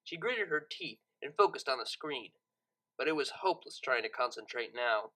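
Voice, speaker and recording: A narrator reading a scripted story audiobook in an expressive, dramatic storytelling manner. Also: mid-range voice, normal speed.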